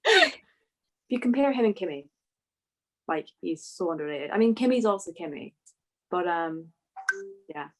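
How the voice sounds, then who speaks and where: Woman, lowish pitch, informal panel chat, conversational filler words. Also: animated, measured pace, long pauses, low-quality recording. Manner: informal, peppered with "like". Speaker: podcast panellist. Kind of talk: casual motorsport panel chat.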